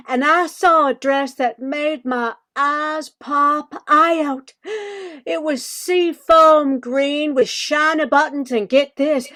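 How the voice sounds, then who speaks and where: A woman's voice: high-pitched, a bit bright. A woman playing a wistful, eager bayou daughter in a radio play.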